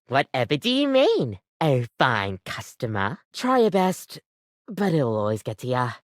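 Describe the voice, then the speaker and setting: A woman's voice: deep voice, no echo, studio quality. A woman voicing an overworked, apologetic barista in a video game, chatty, rambling and self-deprecating, with a weary devotion to the job. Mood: scripted customer-service cheer. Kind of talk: video game character acting, conversational.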